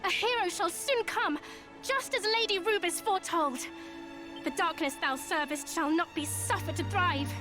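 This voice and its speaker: An actress playing a proud young princess in a fantasy game, speaking in formal, archaic, resolute phrases. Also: female voice, extremely high pitch, moderate pace, music in the background. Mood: defiant resolve. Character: proud, defiant young princess of heroic blood. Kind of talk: theatrical fantasy game acting.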